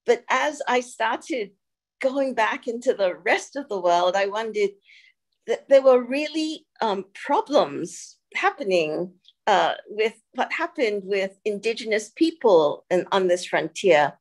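An older woman from Singapore, giving a reflective presentation over a video call, pausing often with fillers. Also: mid-range voice, animated. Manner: reflective, halting with many fillers. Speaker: guest speaker.

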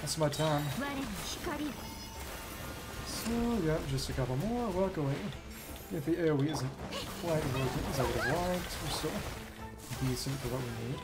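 A woman's voice: deep voice, moderate pace, pauses often. A woman streamer giving casual live gameplay commentary, half muttering to herself with mild exclamations. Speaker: game streamer. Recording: loud game music behind voice. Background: loud game music.

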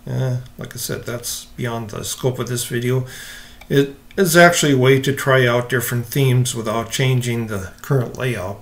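A man hosting a casual software walkthrough, explaining step by step in an informal, unhurried way. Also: medium pitch, vocal fry. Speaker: software tutorial host.

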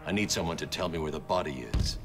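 An actor playing a grim, brooding male vigilante in a video game cutscene, with a stern, measured delivery. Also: low-pitched, lightly acted. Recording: game audio with loud music behind.

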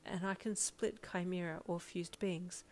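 A woman voicing a frightened but caring female doctor in an audio story, speaking haltingly and pleadingly. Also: lowish voice, fairly even intonation, darkish tone, close to an everyday voice. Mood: nervous, hesitant. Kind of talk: acted dialogue in an audio story.

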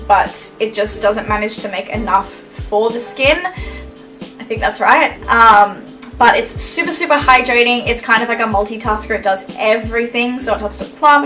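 A woman's voice: on the high side, sharp tone, far from the mic, rough recording. A young woman in her twenties chatting enthusiastically about skincare products in her bedroom, with lots of fillers. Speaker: beauty vlogger. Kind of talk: casual product review. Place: bedroom, indoors.

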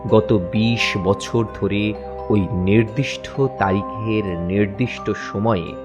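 Man, first-person ghost story narration, fairly low voice, measured, faint music behind. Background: faint background music.

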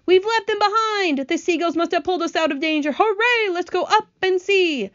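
A brave young boy from a children's story, acted out by a woman, leading with urgency. The voice is pitched high and exclaiming, on a slightly dull, dry recording. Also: moderate pace, fairly bright tone, no room sound. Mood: excited relief.

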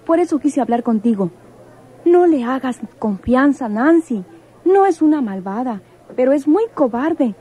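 A proud, wary woman in a radio soap. Her voice is slightly high and mellow. She speaks earnestly and firmly, pressing her point. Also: animated, a little slow, husky, full character voice. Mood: urgent warning. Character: proud, wary, insistent woman warning a man. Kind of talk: Spanish-language radio soap acting.

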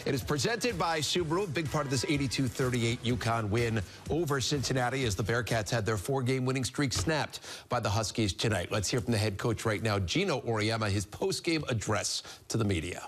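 A man's voice: medium pitch, lively intonation, fairly quick, hardly any pauses, soft background music. A middle-aged man hosting a post-game sports show in a TV studio, with a polished announcer delivery. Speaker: studio host.

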